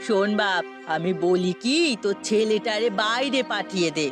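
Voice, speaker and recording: A woman's voice: medium pitch, moderate pace, lightly acted. A woman playing a sly, meddling older village aunt in an audio melodrama, gossipy and insinuating. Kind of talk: melodramatic Bengali audio story acting. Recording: music under the voice.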